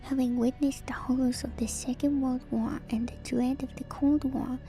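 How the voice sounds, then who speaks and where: A woman streamer speaking in a soft, breathy ASMR whisper, gentle and musing as she reads lore and comments casually, softly repeating phrases. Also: high-pitched, fairly even intonation, normal speed, faint music behind. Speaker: streamer and host.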